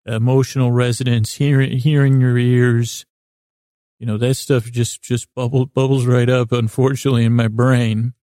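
A man hosting a sleep podcast, rambling through a whimsical bedtime monologue in a halting way, often repeating himself and talking to himself. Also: medium pitch, little pitch movement, warm tone, no echo, clean studio sound. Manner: halting, meandering, repeats and trails off; whimsical, talks to himself in imagined dialogue. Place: inside a small closet.

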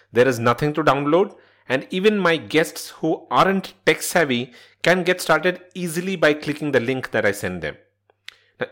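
A man's voice: mid-range voice, fairly bright tone. A man presenting a scripted solo podcast as its host, speaking in an instructional way directly to listeners.

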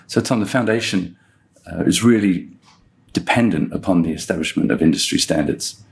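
A man hosts a podcast interview and frames each question at length. His voice is low and gravelly, with a creak running through it. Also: moderate pace. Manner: asks long framing questions. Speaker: guest host, research director.

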